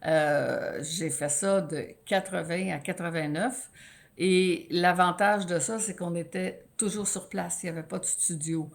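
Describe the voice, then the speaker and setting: Quebec woman with a gravelly voice. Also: on the low side, a little slow. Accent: Quebec French. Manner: animated, storytelling with many restarts. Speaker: guest, sports play-by-play announcer. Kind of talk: conversational interview.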